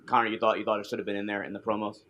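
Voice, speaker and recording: Fast-talking moderator with a low voice. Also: male voice, little pitch movement, pushed and bright, a little hiss or hum. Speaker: press conference moderator. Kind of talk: asking press questions.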